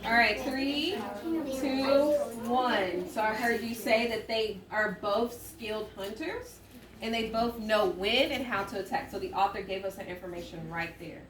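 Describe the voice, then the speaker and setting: A woman's voice: on the high side, measured pace, a crowd in the background. A woman teaching an elementary class through an interactive read-aloud, instructing and prompting children with questions. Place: indoor classroom. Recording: echoey classroom, distant mic, faint noise.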